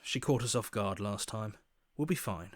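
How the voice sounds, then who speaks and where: A man acts out a video game hero who serves as a voice of conscience. He sounds earnest, cautious and concerned, in a fairly low voice recorded very dry. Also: normal speed, no echo, lightly acted. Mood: earnest, wary. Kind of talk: dramatic video game voice acting.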